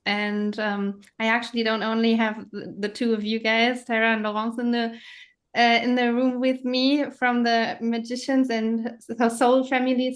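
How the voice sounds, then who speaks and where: Reflective woman on a call. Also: on the high side. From Germany. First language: German. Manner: reflective, searching for words. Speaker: podcast guest. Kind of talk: casual conversation.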